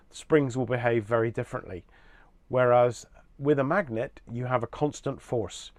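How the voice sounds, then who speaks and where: A man with a medium-pitched voice presents a product range in a measured, explanatory style. He moves through points in an orderly fashion. The sound is dry and close, with very little room in it. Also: no room sound.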